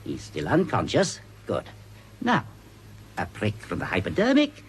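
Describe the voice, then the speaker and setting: A man acts a scheming villainous scientist in an old-style radio thriller. His medium-pitched voice leaps about dramatically as he frets and plots. A faint noise sits behind him. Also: wildly swooping pitch, a little slow. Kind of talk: 1940s radio adventure serial acting.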